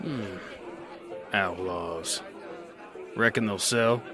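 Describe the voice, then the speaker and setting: A hard-bitten, haunted gunslinger narrating his own tale in a western fantasy audio drama. He talks slowly in a gruff, sardonic way, while saloon music and a crowd murmur behind him. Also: fairly low pitch. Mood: world-weary. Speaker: narrator and lead.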